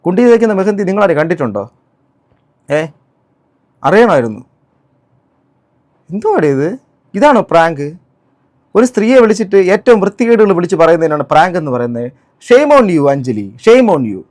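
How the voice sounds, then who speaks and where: A man's voice: high voice, sing-song, moderate pace, pauses often, darkish tone. A man giving spoken commentary on a clip, indignant and full of rhetorical questions. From Kerala, India. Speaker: reaction vlogger.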